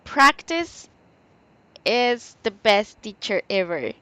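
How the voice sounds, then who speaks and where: A woman's voice: mid-range voice, big pitch swings, slow pace, pushed and bright, clear, resonant tone. A woman teaching English in an online conversation lesson, speaking in an encouraging, supportive way.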